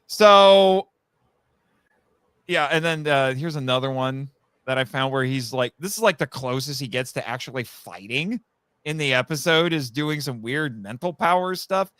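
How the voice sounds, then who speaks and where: A man's voice: mid-range voice, animated, moderate pace, a bit bright, smooth, no room sound. A man talking casually and eagerly as a guest on a fan podcast, explaining things at rambling length. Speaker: podcast guest, audio drama writer. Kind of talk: animated casual fan discussion.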